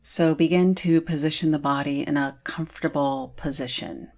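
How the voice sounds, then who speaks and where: A woman leading a guided meditation in a soothing manner, leaving long pauses between phrases. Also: low voice, fairly even intonation, darkish tone.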